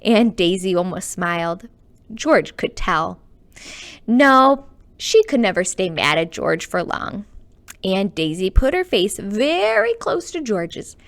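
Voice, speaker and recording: A woman working as a children's librarian, reading a storybook aloud in an animated, chatty way and speaking straight to young listeners. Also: mid-range voice, slow. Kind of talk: expressive storybook read-aloud with chatty asides.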